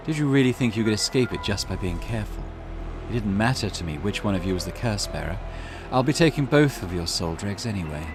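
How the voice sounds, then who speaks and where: A man's voice: on the low side, expressive, fast, music in the background. A man voicing a desperate, menacing curse bearer in a visual novel. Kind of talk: visual novel dialogue read aloud.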